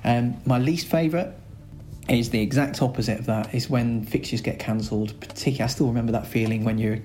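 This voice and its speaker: A man with a Lancashire accent, a school's director of sport, answering podcast interview questions in a chatty, rambling way with many filler pauses. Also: mid-range voice, fairly even intonation, darkish tone, a little hiss or hum. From Lancashire, England.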